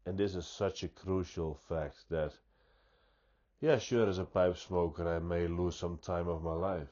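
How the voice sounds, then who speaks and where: A man with a stuffy nose giving an unscripted, reflective monologue, speaking deliberately and often restarting his sentences. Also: low-pitched, fairly even intonation, mellow, highs cut off. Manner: slow, deliberate, thoughtful, with frequent restarts. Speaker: pipe-smoking vlogger.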